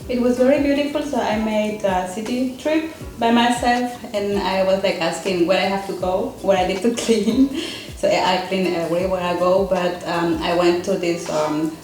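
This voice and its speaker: A woman from Peru speaks in earnest, halting phrases, often stopping to search for the right word. Her voice sits at a middle pitch. The room is strongly echoey, with faint music behind her. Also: darkish tone. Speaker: guest, seminar student. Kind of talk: casual conversation.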